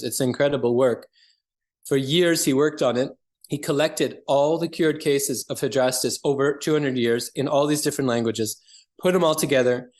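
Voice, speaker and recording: A man with a medium-pitched voice talks as an interview guest. He explains in an earnest, conversational way, like a practitioner sharing his field. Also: a little mellow.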